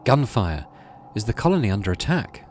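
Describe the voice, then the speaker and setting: A man's voice: fairly low pitch, sing-song, moderate pace, darkish tone, slight background noise. A middle-aged man hosting a gaming video, reading story text aloud and adding casual commentary. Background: game music.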